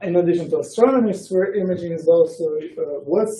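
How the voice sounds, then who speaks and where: A man giving an academic lecture as a researcher, speaking haltingly and often correcting himself mid-word. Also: sits high, dark tone. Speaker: researcher giving a colloquium talk. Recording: muffled, echoey room, distant mic.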